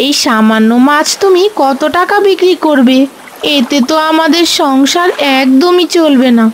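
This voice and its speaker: A woman voicing a hopeful, practical village wife in an animated folk tale, coaxing and explaining. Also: high-pitched, normal speed, a little hiss or hum, lightly acted.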